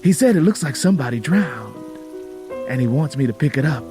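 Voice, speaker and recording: A man playing a grim, unhurried gravedigger. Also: medium pitch, animated, music in the background, close to an everyday voice. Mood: grim matter-of-fact.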